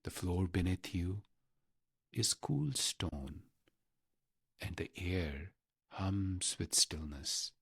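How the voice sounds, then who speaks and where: A man guiding a meditation in a measured, reverent manner, pausing deliberately between short phrases. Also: low voice, gravelly, breathy edge, no room sound, clean studio sound. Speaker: meditation guide. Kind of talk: guided meditation narration.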